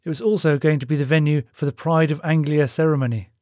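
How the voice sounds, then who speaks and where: Male audiobook narrator, slightly high-set voice, dark and relaxed tone, scripted storytelling. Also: fast, no echo, studio quality.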